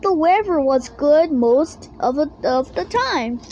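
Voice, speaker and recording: A woman with a high-pitched voice reads a children's picture book aloud at a slow pace. The reading is halting, with stumbles and misread words. There is faint noise in the recording. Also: animated, darkish tone, muffled recording. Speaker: storybook reader.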